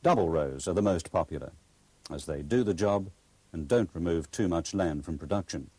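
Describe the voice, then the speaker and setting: A male narrator reads an instructional script formally and carefully. His low, husky voice moves expressively, in a dry, close recording. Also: mellow, no echo. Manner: formal, measured explanation.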